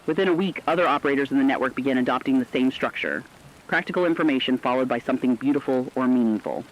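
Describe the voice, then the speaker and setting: A man playing an earnest radio correspondent, giving sombre, deliberate scripted commentary on a disaster. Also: mid-range voice, lightly acted. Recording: dull lo-fi radio-style sound.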